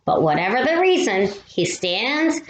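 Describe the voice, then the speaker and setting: Female read-aloud storyteller for children: lively intonation, unhurried delivery, drawn-out emphasis, roomy recording. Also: a little high. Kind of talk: expressive children's storybook reading. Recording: roomy echo with faint noise.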